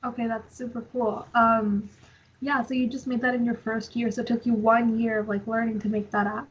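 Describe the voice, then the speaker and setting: A woman's voice: a little high, little pitch movement, fairly quick, husky, highs cut off. A young woman conducting a casual interview in an informal, affirming way.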